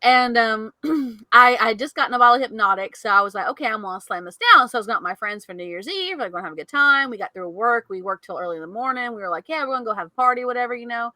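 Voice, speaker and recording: Woman on a podcast w/ a bright high-ish voice, super dry close sound, laughs a lot. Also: fast, no room sound. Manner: animated, laughs often. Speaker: podcast co-host. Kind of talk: casual storytelling chat.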